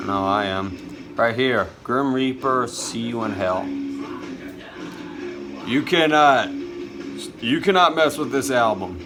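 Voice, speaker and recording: A young man showing off his cassette collection in a casual, enthusiastic vlog, with plenty of fillers. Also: medium pitch. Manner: enthusiastic, chatty, with many uh fillers. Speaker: cassette collector and video host. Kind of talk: casual show-and-tell vlog.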